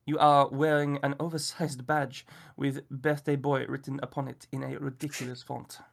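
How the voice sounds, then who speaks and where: A man's voice: a little high, a little fast, a bit bright, breathy edge. A man voicing a fussy, aloof male detective who puts on a mock French accent and speaks in precise, formal, haughty phrases. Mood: haughty, dismissive.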